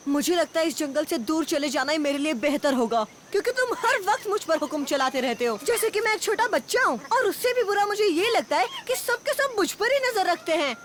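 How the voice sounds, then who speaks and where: A woman's voice: very high-pitched, expressive, fairly quick, few pauses, noisy background. A woman voicing a proud, headstrong young jungle boy in a Hindi cartoon dub, defiant and emphatic. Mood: defiant, hurt. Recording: music behind voice.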